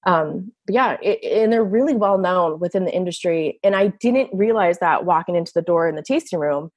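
A woman's voice: fairly low pitch, fairly quick, husky, dry recording. A woman working as an enologist with a big voice, chatting casually with many fillers in an interview.

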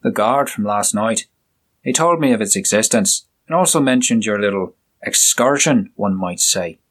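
A man's voice: fairly low pitch, expressive, normal speed, husky. A man doing a curt, haughty police inspector. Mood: stern. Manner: curt, commanding, condescending. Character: stern, dismissive police inspector, middle-aged man.

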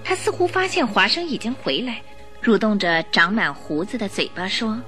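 A woman's voice: medium pitch, lively intonation, moderate pace, pushed and bright. A woman reading dramatic scripted narration for a radio play. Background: music.

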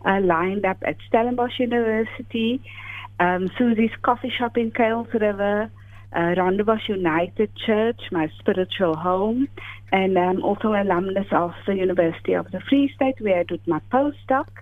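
A woman's voice: mid-range voice, normal speed, no room sound. Older South African woman on a phone line. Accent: South African English. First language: Afrikaans. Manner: thoughtful, hesitant, many fillers. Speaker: author, interview guest.

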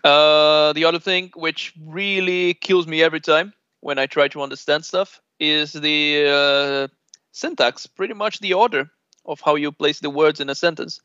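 A man's voice: on the high side, moderate pace, smooth, no room sound. Brazilian man, Portuguese native, easygoing interviewee; muffled dry recording. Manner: relaxed and chatty, laughs often, many filler uhs. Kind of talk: casual conversational interview. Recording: dull lo-fi dry sound.

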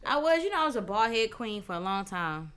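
A woman's voice: medium pitch, big pitch swings, brisk, crowd noise. A woman co-hosting a podcast in casual banter, playful and teasing, with slangy, profane talk. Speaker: podcast co-host.

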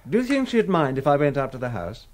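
An actor playing a reserved, courteous middle-aged surgeon in a radio drama, formal and understated in manner. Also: male voice, a little high, big pitch swings, quick pace, a little hiss or hum. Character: reserved, polite London brain surgeon, visiting stranger.

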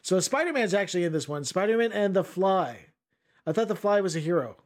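A man's voice: high voice, lively intonation, fairly quick. A man hosting a casual comic book podcast, joking and reading panels aloud. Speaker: podcast host.

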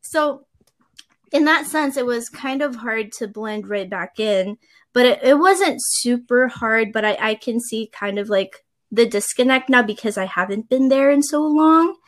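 Woman from the Philippines, fairly high voice, casual, agreeable, rambling. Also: lively intonation, unhurried, a bit bright. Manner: agreeable, frequent backchannels, rambling. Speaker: conversation partner. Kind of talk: casual conversation.

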